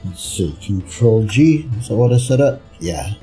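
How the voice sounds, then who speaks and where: A man's voice: on the low side, lively intonation, unhurried, mellow, faint music behind. A man giving casual gameplay commentary, laid-back, muttering short asides as he plays.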